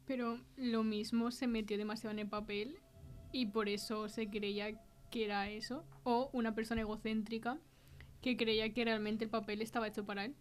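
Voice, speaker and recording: A woman's voice: on the high side, level tone, moderate pace. A woman with a Spanish accent from Spain, presenting and asking questions as the host of a mystery interview podcast.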